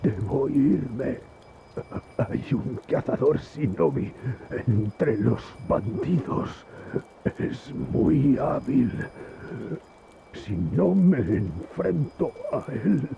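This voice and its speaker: An actor playing a wounded, stubbornly determined fighter in a video game, sounding strained. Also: male voice, mid-range voice, animated, drawn-out words, gravelly, mellow, lo-fi sound, slight background noise, exaggerated voice. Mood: strained, resolute. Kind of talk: dubbed video game cutscene acting.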